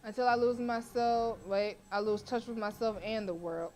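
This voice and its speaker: A woman's voice: a little high, steady tone, moderate pace, darkish tone. A woman streamer who reads a horror visual novel aloud in a dramatic way and breaks off for profane, joking asides.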